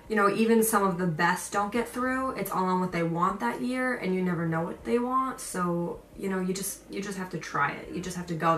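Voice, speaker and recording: A young woman talks casually to her viewers, chatty and emphatic, in a slightly low-pitched voice. She sounds a little away from the mic in a roomy, echoing space. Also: a bit bright. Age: young adult. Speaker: vlogger. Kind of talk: casual storytelling to viewers with advice. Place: indoor room with a picture on the wall. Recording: roomy echo, distant mic, faint noise.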